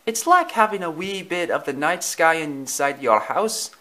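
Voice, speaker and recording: A man's voice: a little high, lively intonation, unhurried, sharp tone, voice pushed up. A man voicing a friendly, hearty male dog with a thick Scottish accent in a story reading.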